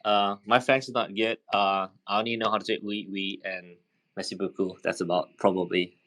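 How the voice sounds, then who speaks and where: A man with a fairly low voice moderates a casual online panel. He talks in an easygoing, chatty way and often stops to restart a sentence. His delivery stays informal and conversational. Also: moderate pace. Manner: relaxed, chatty, many fillers and restarts. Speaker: host and moderator.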